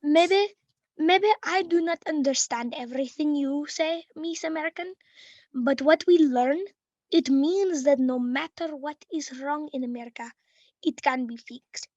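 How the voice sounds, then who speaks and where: A woman playing an earnest, hopeful young refugee child in a 1930s radio play, speaking halting, careful English. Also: high-pitched, darkish tone, no room sound. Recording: dull, dry lo-fi radio recording.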